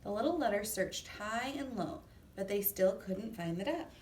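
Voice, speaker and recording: A woman reading a children's story aloud in an expressive, deliberate way. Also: mid-range voice. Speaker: storybook reader. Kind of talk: children's read-aloud narration. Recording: echoey room, distant mic, faint noise.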